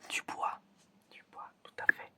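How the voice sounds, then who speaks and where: A person whispering in a breathy, almost voiceless way in an ASMR roleplay as an examiner, halting and repeating short phrases. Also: bright, forceful tone. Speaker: ASMR creator playing an examiner giving a five senses test.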